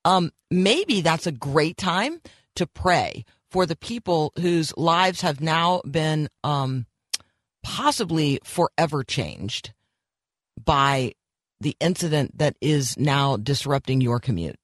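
A man's voice: on the high side, expressive, slow pace, fairly bright tone, no echo, studio quality. A man hosting a morning radio show, speaking in an earnest, encouraging devotional tone with a slightly froggy voice and frequent ums and restarts.

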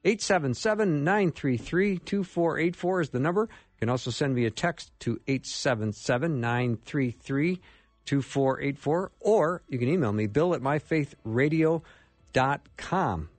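A man's voice: a little high, expressive, unhurried, dry recording. A man with an American accent hosting a live radio call-in show in a casual, conversational style.

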